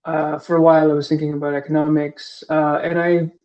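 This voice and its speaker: Hesitant man, fairly high voice. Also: little pitch movement, brisk, a little mellow, muffled recording. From Singapore. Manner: hesitant, many fillers and restarts. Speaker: philosophy professor, guest. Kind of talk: casual interview answers.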